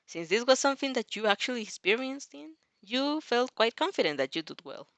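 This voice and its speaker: A woman's voice: on the high side, sing-song, brisk, fairly bright tone, no echo. A woman reading fan fiction expressively and informally, stumbling over and repeating phrases, with casual reactions of her own.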